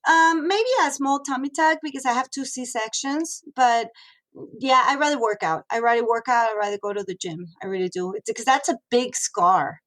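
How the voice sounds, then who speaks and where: A woman's voice: on the high side, moderate pace, fairly bright tone. A woman in her thirties, originally from Mexico, talking frankly in a casual interview, with many fillers and restarts. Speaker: guest, radio host and model.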